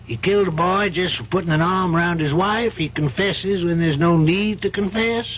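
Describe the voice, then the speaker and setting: An actor playing an older, compassionate judge in an old-time radio drama, reasoning in a measured, probing, paternal manner. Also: male voice, high-pitched, fairly bright tone, husky. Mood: earnest, admonishing. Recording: dull, faintly noisy old radio recording.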